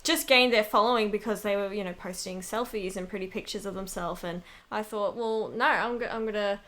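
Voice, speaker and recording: A woman's voice: medium pitch, brisk, fairly bright tone. A woman in a casual interview, talking as an amateur voice actress in a rambling, self-deprecating way.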